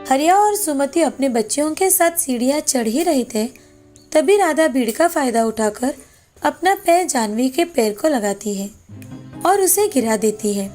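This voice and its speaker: A woman's voice: sits high, lively intonation, a little slow, a little mellow. A woman narrator reading a scripted moral fairy tale as storytelling. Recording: background music under the voice.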